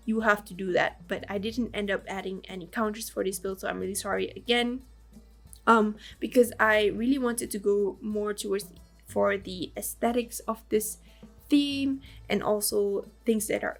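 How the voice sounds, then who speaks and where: A woman with a raspy voice, hoarse from the flu, narrating a game build in casual, rambling voice-over. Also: medium pitch. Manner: rambling, lots of fillers and small laughs.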